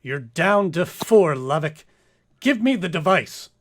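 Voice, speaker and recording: A man's voice: on the high side, very animated, slow pace, fairly bright tone, heavily acted. A man playing an earnest, heroic spaceman in a comic old-time radio play, speaking in a declamatory way. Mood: commanding. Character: earnest male space hero, career-minded and heroic.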